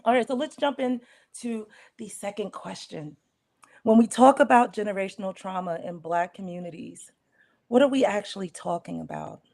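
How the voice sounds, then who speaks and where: A woman moderates an online panel discussion in an upbeat, affirming way, thanking her guests and steering the conversation. Her voice sits at a medium pitch. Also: moderate pace, gravelly, darkish tone. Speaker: host.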